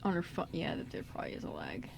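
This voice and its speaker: A woman's voice: low-pitched, huge pitch swings, fast, vocal fry, a crowd in the background. A woman co-hosting a radio show, talking informally and slangily, often repeating herself. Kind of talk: casual chat.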